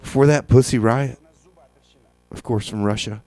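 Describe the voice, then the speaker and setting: A man's voice: mid-range voice, fairly even intonation, normal speed, a little mellow, no echo, low-quality recording. A man hosting a music radio show with casual, hesitant, tongue-in-cheek patter, often repeating phrases for effect. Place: radio studio.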